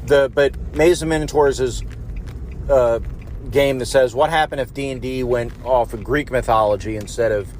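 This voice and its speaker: A man of middling pitch leaves a voicemail from his car, with faint road noise behind him. He rambles casually, stumbling over word starts and repeating himself as he thinks aloud. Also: steady tone, darkish tone. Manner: halting, repeats words and restarts often. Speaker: podcast voicemail caller. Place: in a car in traffic. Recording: noisy voicemail from inside a car. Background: car and traffic noise.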